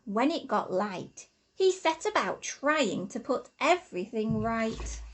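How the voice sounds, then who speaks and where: A woman, an infant school teacher, reading a rhyming storybook expressively to children with deliberate, sing-song emphasis. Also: sits high, low-quality recording.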